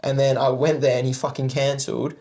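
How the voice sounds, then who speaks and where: A man's voice: mid-range voice, little pitch movement, quick pace, darkish tone. A man in his late twenties with an Australian accent, chatting casually as a podcast guest in a laid-back, joking way, with plenty of swearing. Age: late 20s. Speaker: podcast guest, music producer. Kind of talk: casual chat.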